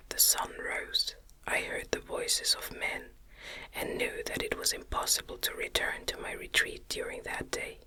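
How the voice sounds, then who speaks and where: Whispering woman reading a gothic novel, slowly. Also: poor audio. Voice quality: breathy, unvoiced whisper. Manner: slow, gentle, deliberate phrasing. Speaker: audiobook reader.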